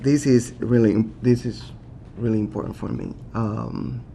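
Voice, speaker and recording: A man with a Latin American Spanish accent, a city council candidate giving earnest, halting answers at a forum, with many fillers and restarts. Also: mid-range voice, fairly even intonation, vocal fry, warm tone, a little hiss or hum.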